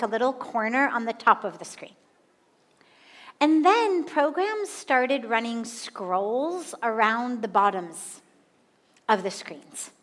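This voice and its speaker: An American woman in her fifties, a spiritual teacher giving a slow, deliberate satsang talk with emphatic repetition. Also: on the high side, long reverb tail. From United States. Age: 50s.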